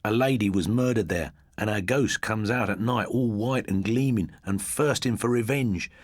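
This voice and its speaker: An excitable schoolboy storyteller, acted out by a male reader, pitched well up and pushing every phrase. He is boastful and dramatic, spinning ghost tales in a dry, close audiobook sound. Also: moderate pace, no room sound, lightly acted. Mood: spooky, relishing. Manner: dramatic, insistent.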